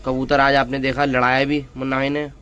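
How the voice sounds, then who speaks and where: A man's voice: medium pitch, monotone, brisk, dull audio, background noise. Chatty man filming pigeons outdoors. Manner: chatty, addresses viewers as friends. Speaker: pigeon-keeping vlogger. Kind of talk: casual show-and-tell narration. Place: open-air courtyard full of pigeons. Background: pigeons cooing and fluttering.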